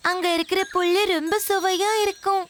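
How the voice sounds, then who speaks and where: A woman's voice: very high-pitched, little pitch movement, fairly quick, fairly bright tone, a little hiss or hum. A woman voicing a young, playful, headstrong female calf in a children's cartoon, childlike and eager. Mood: hungry, eager.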